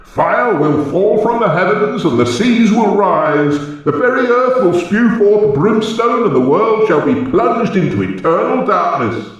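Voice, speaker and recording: An actor playing a pompous, grand-sounding disc jockey on air in a comedy radio play. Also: male voice, sits high, sing-song, unhurried, few pauses, dull audio. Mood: grandly ominous. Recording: very echoey, distant from the mic.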